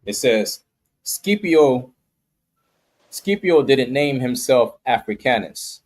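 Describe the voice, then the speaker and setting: A man reading an article aloud in a halting way, stumbling over some words. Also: mid-range voice, lively intonation. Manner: reads aloud haltingly, brief agreements. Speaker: reader of articles.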